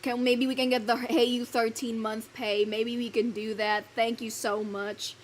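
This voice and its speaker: A woman's voice: on the high side, level tone, a little slow, slight background noise. A woman streaming live, chatting loosely with her viewers, laughing often, swearing casually and fumbling her lines. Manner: giggly, rambling, swears casually. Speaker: virtual streamer.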